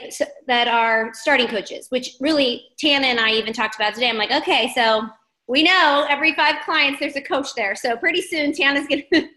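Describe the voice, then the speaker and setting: Bright, emphatic woman coach. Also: sits high, echoey room. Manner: emphatic, affirming, repeats key phrases. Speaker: team coach leading a training call. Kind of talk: encouraging group training talk.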